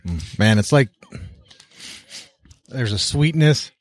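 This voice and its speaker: A man's voice: medium pitch, expressive, slow. A man talking casually and informally as a guest on a panel outdoors. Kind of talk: casual chat. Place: outdoors by a bonfire.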